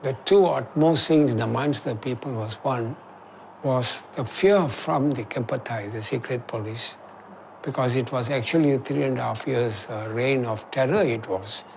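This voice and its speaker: A man in his eighties recounts wartime memories plainly in a documentary interview. His voice has a gravelly edge at an ordinary pitch, with faint noise behind him. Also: normal speed. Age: 80s.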